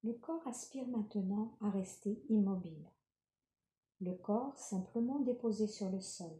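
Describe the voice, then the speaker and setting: Woman, relaxation guide, slow pace, gentle calm instruction, deeply mellow tone, guided meditation. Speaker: yoga nidra relaxation guide. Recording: roomy, reverberant sound.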